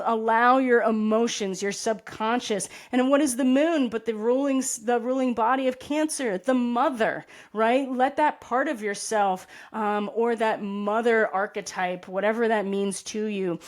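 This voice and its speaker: A woman with a raspy voice giving a casual astrology forecast, rambling and correcting herself with many asides and tag questions. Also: medium pitch. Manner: rambling, self-correcting, frequent "right?" and "you know". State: tired voice.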